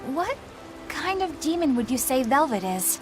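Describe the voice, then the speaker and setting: Uma atriz interpretando uma jovem exorcista sincera, educada e formal. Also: female voice, sits high, very animated, moderate pace, faint music behind. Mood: earnest. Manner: polite, formal, earnest. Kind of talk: game dub acting.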